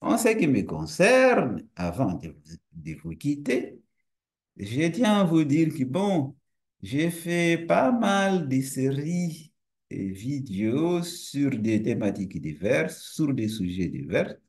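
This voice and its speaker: Slow, lively Portuguese-speaking man lecturing. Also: mid-range voice. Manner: explanatory, with rhetorical questions and frequent restarts; relaxed and friendly. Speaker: university lecturer and researcher. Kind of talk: lecture to camera.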